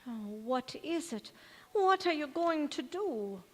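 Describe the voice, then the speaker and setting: A woman's voice: sits high, lively intonation, slow pace. A woman acting in a stage radio play as a loyal, scolding, sorrowful household servant with a folksy, fretful manner. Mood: alarmed, scolding. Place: large reverberant church or hall. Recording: echoey hall.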